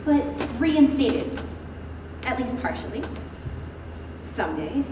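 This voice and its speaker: An actress on stage playing the gentle, matter-of-fact wife of a doomed revolutionary, earnest and gently correcting. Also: female voice, on the high side, sing-song, takes it slowly. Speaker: stage actor. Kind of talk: comic stage acting. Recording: echoey hall from far off, noisy.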